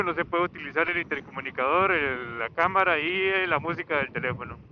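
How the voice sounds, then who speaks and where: A man chatting casually and unscripted as he rides a motorcycle, rambling, hesitant and repeating himself, recorded through a helmet intercom microphone. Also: a little high. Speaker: motorcycle vlogger. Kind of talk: casual unscripted chat while riding. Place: outdoors, riding a motorcycle on a highway. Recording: muffled helmet intercom mic, steady vehicle noise. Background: faint motor and road noise.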